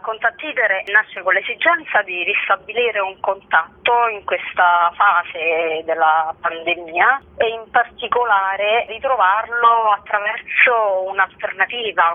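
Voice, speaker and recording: A woman, a festival director, answering questions as an interview guest over the telephone. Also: mid-range voice. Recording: narrow tinny phone line.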